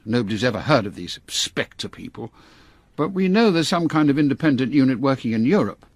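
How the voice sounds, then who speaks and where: An older English intelligence chief in a radio drama. His voice is husky and swings widely in pitch, yet stays clipped and full of authority. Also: lively intonation, brisk, dry recording. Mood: grave, brisk. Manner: clipped, commanding.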